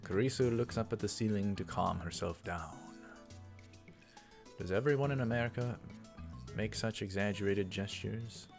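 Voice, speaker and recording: Low, creaky-voiced man narrating a game. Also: moderate pace, music in the background. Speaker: let's play host. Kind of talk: reading visual novel text aloud with casual commentary.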